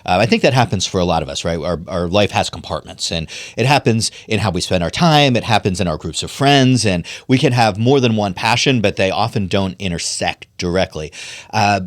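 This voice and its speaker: Middle-aged man, very low voice, dry close sound, earnest, halting with ums. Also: no echo. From Pennsylvania, USA. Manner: thoughtful, earnest, many ums and restarts. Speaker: podcast guest, political strategist. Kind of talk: conversational podcast interview.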